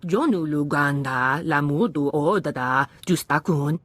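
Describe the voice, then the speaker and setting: Low-voiced alien dealer. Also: female voice, measured pace, no echo. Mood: businesslike. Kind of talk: video game voice acting.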